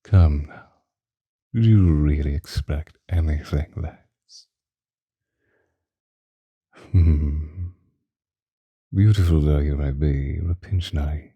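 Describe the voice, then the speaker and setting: Man as ancient male vampire, ASMR roleplay, rock-bottom pitch, husky breathy murmur, possessive, theatrical, languid, close dry mic. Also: dark tone, no room sound, lo-fi sound, exaggerated voice. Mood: mocking, amused. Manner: languid, archaic, theatrical, with lingering pauses. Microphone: close microphone, dry.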